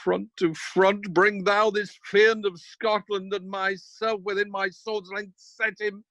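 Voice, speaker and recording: Male actor as grieving warrior lord, slow and halting, dry close sound, radio Shakespeare. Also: high-pitched, flat delivery, pushed and bright, dry recording, heavily acted. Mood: vengeful resolve. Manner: slow, halting, anguished delivery. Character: grief-stricken Scottish nobleman, proud warrior father. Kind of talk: amateur radio-play Shakespeare acting. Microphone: recorded over video call.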